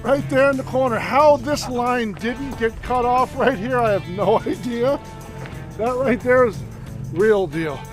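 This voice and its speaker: A man acting as a fishing guide on a boat, chatty and energetic, explaining tips step by step. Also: very high-pitched, a little slow, music in the background. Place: on a boat offshore, outdoors.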